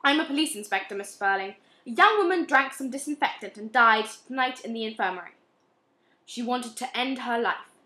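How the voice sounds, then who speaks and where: Stern, deliberate inspector for amateur radio play, high register female performer. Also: animated, sharp tone. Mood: grave. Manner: measured, deliberate, firm. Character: stern, insistent, morally severe police inspector.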